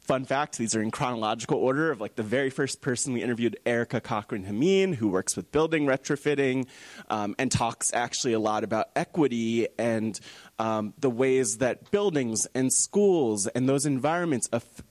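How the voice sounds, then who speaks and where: A man's voice: mid-range voice, moderate pace. A man presenting informally at a live event, friendly and thinking aloud as he goes. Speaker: nonprofit storytelling director presenting.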